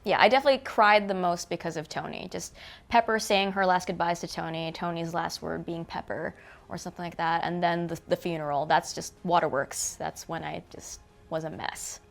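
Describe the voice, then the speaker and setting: A woman's voice: on the low side, steady tone, fairly quick, vocal fry. Enthusiastic woman chatting casually on a review show, talks like a friend. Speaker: co-host of a movie review show. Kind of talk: casual chat, film discussion.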